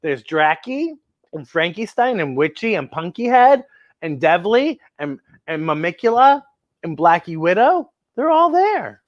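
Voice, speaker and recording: A woman's voice: lowish voice, big pitch swings, measured pace, lo-fi sound. A woman hosting a children's story time, reading a picture book aloud expressively and talking directly to young listeners with exclamations.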